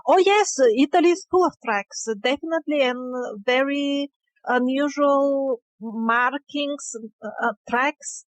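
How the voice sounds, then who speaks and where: A woman's voice: high voice, measured pace, dry recording. A woman interview guest explaining her field findings conversationally, with emphatic but hesitant phrasing. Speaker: interview guest, independent researcher.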